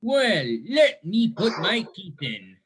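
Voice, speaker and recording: Man doing a very slow, noisy-miked old grandpa. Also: high voice, big pitch swings, full character voice. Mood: puzzled, savouring. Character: doddery old grandpa with false teeth. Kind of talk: mock old-time radio advert acting. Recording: very noisy recording with clicks.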